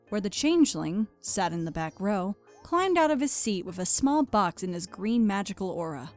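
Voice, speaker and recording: A woman reading scripted story narration. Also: medium pitch.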